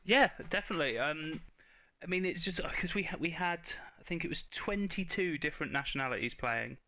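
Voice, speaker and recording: A man's voice: fairly high pitch, expressive, a little fast, bright, forceful tone. A man hosting a casual interview podcast in an informal, chatty and agreeable way.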